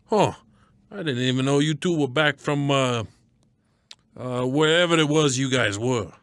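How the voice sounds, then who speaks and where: A man acts out a blustery radio producer in a comedy skit. He gripes and wisecracks in slangy, melodramatic bursts at a middling pitch, recorded close and dry. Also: measured pace, fairly bright tone, dry recording, close to an everyday voice. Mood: gruff wisecracking. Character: blustery, griping radio producer, disillusioned sports superfan.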